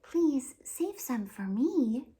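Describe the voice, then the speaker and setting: A kindly, worried storybook witch, voiced by a woman, pleads politely. The pitch is lifted and the words are slow and drawn out, with a soft tone. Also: very animated, full character voice. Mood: pleading.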